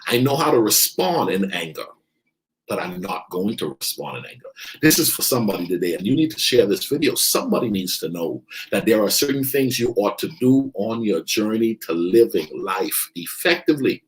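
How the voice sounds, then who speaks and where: A man's voice: fairly low pitch, sing-song, moderate pace, low-quality recording. A man from the Bahamas giving an emphatic motivational talk as a coach, repeating his key phrases for weight. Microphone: online video stream.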